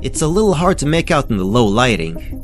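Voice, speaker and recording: An actor playing a polite, puzzled visiting doctor asking questions in an audio drama. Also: male voice, mid-range voice, sing-song, brisk, soft background music, natural voice. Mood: confused, curious.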